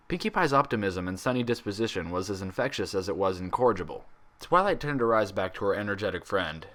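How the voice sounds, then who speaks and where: A man reading a story aloud as an expressive narrator. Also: low voice. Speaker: fan fiction reader.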